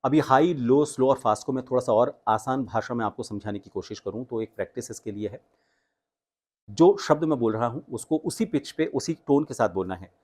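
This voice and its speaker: A man speaking as a voice coach, explaining techniques in an instructional way with deliberate emphasis on key words. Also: mid-range voice, darkish tone. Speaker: voice coach and host. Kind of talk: instructional tutorial with spoken demonstrations.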